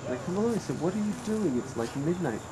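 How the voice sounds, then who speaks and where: A man in a family group telling a casual anecdote outdoors in a brisk, chatty way. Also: sits high, sing-song, background noise. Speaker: family member. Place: outdoors near a road.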